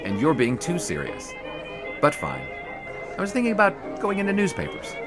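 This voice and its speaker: An actor playing a young 1920s college sportswriter who narrates in ornate prose and banters teasingly. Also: male voice, fairly high pitch, wildly swooping pitch, moderate pace. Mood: playful. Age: young adult. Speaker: student sports writer. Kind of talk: 1920s radio drama. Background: music.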